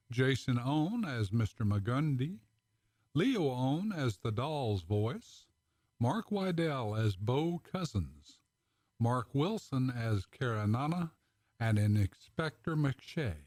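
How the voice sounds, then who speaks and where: A man reading the show's credits as announcer, plain and steady. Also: medium pitch, no echo, studio quality.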